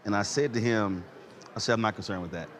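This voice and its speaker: A man's voice: on the low side, fast talker, noisy background. A man in his fifties hosting a conversational interview, speaking emphatically and repeating words as he builds a point. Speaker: talk show host. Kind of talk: conversational interview with personal anecdotes.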